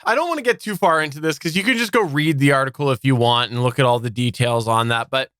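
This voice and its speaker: A man's voice: mid-range voice, fast talker, a bit bright, clear, resonant tone, no echo. A man co-hosting a podcast, chatting casually with a wry, dry humor. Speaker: podcast co-host. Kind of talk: casual chat.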